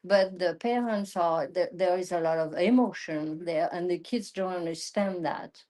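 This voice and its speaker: A woman's voice: lowish voice, moderate pace, warm tone. A woman talking casually in a group discussion, repeating phrases and acting out quoted remarks. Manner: repetitive, acts out quoted remarks.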